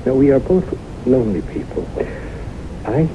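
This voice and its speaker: Man playing formal middle-aged gentleman suitor, earnest and courtly, old radio drama sound, dull with hiss. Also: medium pitch, lively intonation, moderate pace, natural voice. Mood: pleading, earnest. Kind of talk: 1970s radio drama acting. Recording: noisy muffled old radio broadcast recording.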